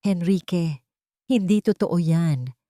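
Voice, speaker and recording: A woman's voice: on the low side, slow pace, mellow, dry recording, clean studio sound. A woman with a Filipino accent voicing a distressed, wronged working woman with wounded dignity in an audiobook. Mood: tearful pleading. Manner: pleading, earnest. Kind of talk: audiobook character acting.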